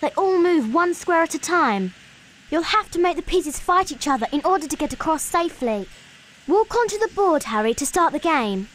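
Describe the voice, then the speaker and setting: A woman's voice: high voice, expressive, normal speed, a little hiss or hum. A woman voicing an earnest, cautious, bookish young schoolgirl witch in a video game. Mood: careful, instructing. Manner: earnest, instructing. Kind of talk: scripted video game voice acting. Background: loud game music.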